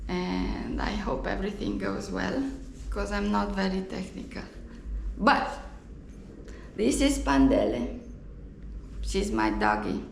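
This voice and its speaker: A woman from Romania with a Romanian accent tells a personal story in a halting, reflective way, recorded on an action camera in a roomy space. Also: mid-range voice, sing-song, vocal fry. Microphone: GoPro camera. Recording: roomy echo, faint background noise.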